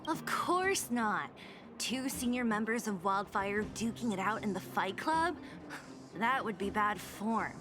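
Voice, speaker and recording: A woman's voice: mid-range voice, expressive, unhurried, close to an everyday voice. An actress playing a level-headed woman fighter who sounds dry and exasperated, with frequent sighs. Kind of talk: video game voice acting. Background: game music.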